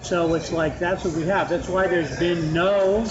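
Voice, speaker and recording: A man's voice: high-pitched, steady tone, unhurried. Nasal man chatting at a noisy restaurant table. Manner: rambling, restarts often. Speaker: podcast host. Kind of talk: casual chat at a restaurant table. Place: restaurant with a fan. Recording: muffled sound with clear background noise.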